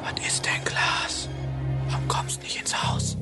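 A man's voice: slow, in a whisper, loud music behind the voice, lightly acted. A male actor playing an anxious, loyal young boy in a radio drama, questioning naively with a North German accent. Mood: hushed, puzzled.

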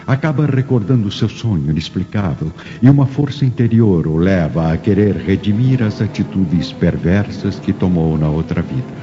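A man's voice: low-pitched, animated, moderate pace, hardly any pauses, warm tone. A man giving solemn, theatrical scripted narration for a drama. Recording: muffled audio with faint music.

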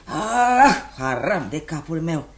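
A woman's voice: sits low, big pitch swings, a little hiss or hum. An actress playing an ailing, wheedling old woman who runs an opium den in a radio drama, whining and coaxing as she talks. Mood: moaning, self-pitying. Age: older. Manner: whining, self-pitying, coaxing. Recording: music behind the voice.

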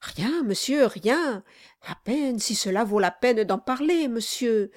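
A woman voicing an obsequious, timid male lawyer who wheedles and flatters. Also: a little high, takes it slowly. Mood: wheedling. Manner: fawning, wheedling, deferential. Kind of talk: audiobook reading.

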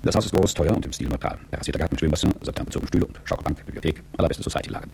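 An actor playing a bored, cynical, wealthy middle-aged manager in a radio drama monologue, dry and sardonic, with a dark gravelly voice. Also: male voice, rumbling low, very fast, heavily acted. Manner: dry, sardonic, world-weary.

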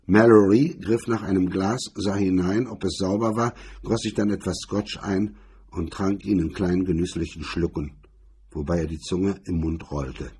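A male narrator reads hardboiled crime prose. His voice is on the low side and strongly husky, with a dark, mellow colour. The delivery is scripted and literary. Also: steady tone, moderate pace. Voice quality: husky, rough-edged. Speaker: narrator reading a crime story.

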